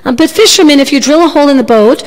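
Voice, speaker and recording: Lady doing scared storybook passengers, worried and pleading, high voice, echoey hall. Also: rapid-fire, close to an everyday voice. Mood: frightened, urgent. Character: anxious crowd of boat guests pleading with fisherman. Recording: long hall reverb.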